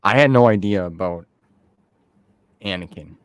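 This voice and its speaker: A man with a low voice in a close, dry recording. He hosts a relaxed fan conversation, speaking informally and often repeating a phrase to underline it. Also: a little slow, clean tone, dry recording. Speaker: podcast host interviewing a guest. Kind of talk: casual fan conversation about novels.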